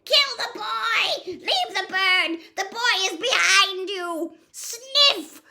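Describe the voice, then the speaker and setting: A woman voicing a furious young male villain in an audiobook, shouting frantic murderous commands. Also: very high-pitched, lively intonation, extremely slow, creaky, pitched above the performer's own voice, heavily acted. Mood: enraged, screaming.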